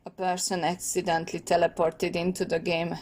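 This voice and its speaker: A woman's voice: fairly low pitch, monotone, brisk, darkish tone, slight background noise, voice pushed down, close to an everyday voice. A woman voicing an anxious, wry male teenage tech genius for a comic dub, talking in a wordy, pedantic way. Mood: wry, rambling. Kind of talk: comic dub character acting.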